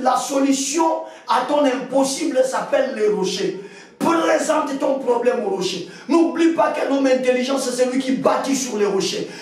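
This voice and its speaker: A man preaching and praying fervently in an insistent, commanding, repetitive way, often raising his voice to a shout. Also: very high-pitched. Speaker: preacher leading a prayer meeting. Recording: very echoey hall, distant from mic.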